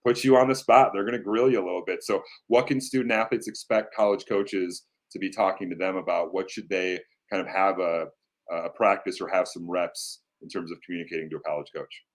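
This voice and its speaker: A man with an American accent and a notably low voice speaks as an interview host. He frames his questions in casual, conversational language, as if setting up a topic for a guest. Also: steady tone, a little fast.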